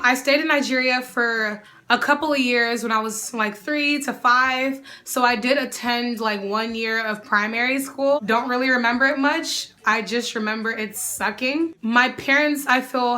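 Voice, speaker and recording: A young woman answering viewer questions in a casual vlog, sassy and animated. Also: on the high side. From United States. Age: young adult. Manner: sassy, animated, often calls the viewer "girl".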